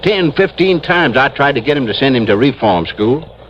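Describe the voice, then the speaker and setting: An actor playing a grumbling, insistent small-town police chief in an old radio drama. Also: male voice, mid-range voice, expressive, normal speed, natural voice. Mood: indignant, bitter. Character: folksy, grudge-bearing small-town male police chief. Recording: dull, hissy vintage radio recording.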